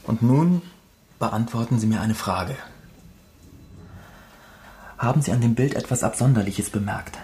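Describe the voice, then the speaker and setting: A man acts an earnest painter in a radio drama at a middling pitch. He is formal and devoted, with the intensity of someone confessing. Some noise sits behind the voice. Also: measured pace, pauses often, darkish tone, natural voice. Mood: shaken, probing. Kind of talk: German radio drama acting.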